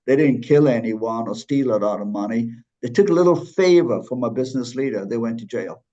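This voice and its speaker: A man from Malaysia talks in a thoughtful, halting way, restarting his sentences as he works through his points. His voice sits at a middling pitch, and the recording sounds dull and lo-fi. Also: animated, mellow. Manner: thoughtful, many restarts and fillers. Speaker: guest. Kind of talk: conversational interview.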